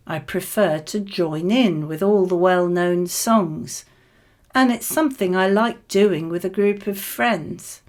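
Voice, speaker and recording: A woman with a medium-pitched voice reads out model answers for a speaking exam. She takes her time, articulating each phrase clearly while keeping a conversational tone. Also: a little mellow. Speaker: model candidate in an English speaking exam lesson.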